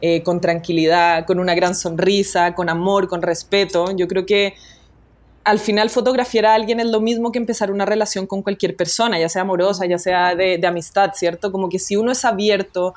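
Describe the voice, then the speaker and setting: A woman's voice: mid-range voice, fairly even intonation, fairly quick. A woman from Colombia, a guest photographer being interviewed, telling her story in a reflective way and restarting her sentences often. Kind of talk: conversational interview.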